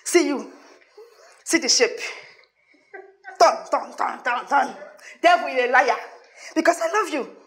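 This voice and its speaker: A woman's voice: high-pitched, unhurried, pauses often, fairly bright tone. A woman with a Nigerian accent acting a remorseful, dramatic woman who pleads with her friends, swinging between sobs and nervous laughter. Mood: relieved. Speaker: actress in a comedy skit. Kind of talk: comic skit acting.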